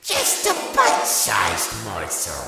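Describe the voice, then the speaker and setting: Toothy evil robot beast, gravelly, echoey, dragging words out, gloating, video game. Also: male voice, sits low, wildly swooping pitch, heavily acted. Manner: slow, taunting. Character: hungry, gloating toothy animatronic monster. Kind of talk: video game villain lines. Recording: echoing hall, very loud music.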